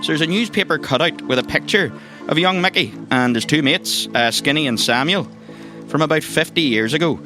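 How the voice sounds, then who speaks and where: A man's voice: a little high, animated, brisk, poor audio. A man, narrating a roleplay story conversationally as game master. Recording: music behind the voice.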